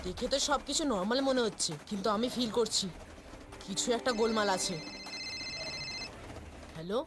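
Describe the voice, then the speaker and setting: A woman's voice: a little high, moderate pace, background noise, natural voice. A woman voicing a brave, earnest young boy hero in a cartoon, confident and reassuring. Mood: suspicious. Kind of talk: cartoon dub acting. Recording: music under the voice.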